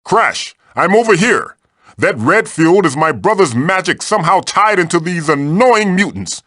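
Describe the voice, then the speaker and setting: Floating tiki mask guide, video game, fatherly, wisecracking, theatrical, slow-paced, very dry close sound. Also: high voice, big pitch swings, bright, forceful tone, no echo, full character voice. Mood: urgent. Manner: theatrical, encouraging, wry. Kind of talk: video game character voice lines, tutorials and quips.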